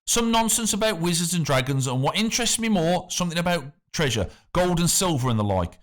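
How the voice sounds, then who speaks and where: A man's voice: a little high, lively intonation, a little fast, voice pushed up, close to an everyday voice. A man doing an affable, menacing tax collector. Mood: smooth menacing. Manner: smooth talk turning to snapping threats. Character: affable but menacing male tax factor.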